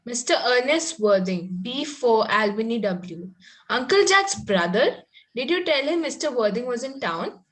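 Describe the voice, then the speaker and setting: Woman reading as precocious young lady, earnest, formal, fairly high pitch, slightly stumbling, Victorian stage comedy. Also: big pitch swings, unhurried, rough recording. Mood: curious. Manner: formal, stumbles over the script. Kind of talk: amateur table read of Victorian comedy play.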